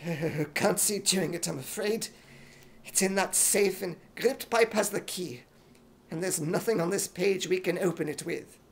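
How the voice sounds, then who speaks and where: A man's voice: high-pitched, unhurried, gravelly, pitched below the performer's own voice. A man voicing a blustering, scheming old retired army major in an imitation British accent for radio comedy. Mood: genial bluster.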